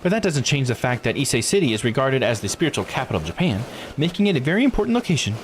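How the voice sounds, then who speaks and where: A man voicing a bright, courteous local guide from a visual novel, reciting lore with polite enthusiasm. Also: mid-range voice, sing-song, racing, background noise, close to an everyday voice. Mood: enthusiastic, admiring. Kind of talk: visual novel character read aloud. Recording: game music under the voice.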